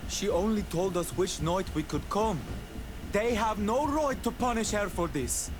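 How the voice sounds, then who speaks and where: A man's voice: high voice, expressive, a little slow, noisy background. A man playing a defiant, bitter young exile in a video game, indignant and emphatic. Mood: defiant, aggrieved.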